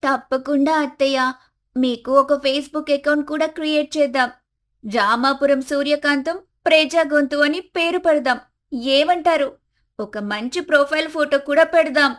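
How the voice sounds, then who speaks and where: A woman voicing a young village daughter-in-law, polite but frank, in an animated family story. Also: high-pitched, measured pace. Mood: amused, helpful. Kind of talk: animated moral story acting.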